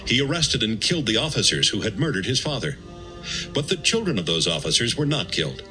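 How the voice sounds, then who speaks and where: Low-voiced male narrator over music. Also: low-quality recording. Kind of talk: scripted dramatic Bible narration. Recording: music bed behind the voice.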